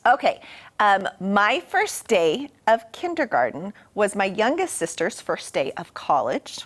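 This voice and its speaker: Animated woman chatting on a morning show. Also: mid-range voice, measured pace. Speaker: TV meteorologist. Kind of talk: casual morning show chat.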